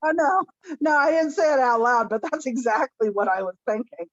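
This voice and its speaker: A woman's voice: high-pitched, animated, normal speed, dry recording. Woman on a dull, dry call line. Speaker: call participant, notary. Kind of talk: casual conversation on a group video call. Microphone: group video call.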